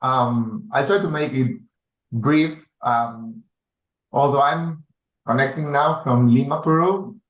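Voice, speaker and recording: Casting: man from Spain, 40s, interview guest; slow, halting delivery with fillers, echoey room on a call. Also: mid-range voice, lo-fi sound. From Spain, Basque Country. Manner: thoughtful, pauses often with fillers. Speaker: guest, chief data officer. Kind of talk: casual interview talk. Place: home or office in Lima.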